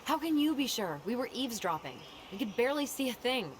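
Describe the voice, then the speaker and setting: A woman's voice: on the high side, big pitch swings, fast talker. An actress playing a thoughtful but firm, skeptical young woman in a naturalistic video game. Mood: doubtful. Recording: faint background noise.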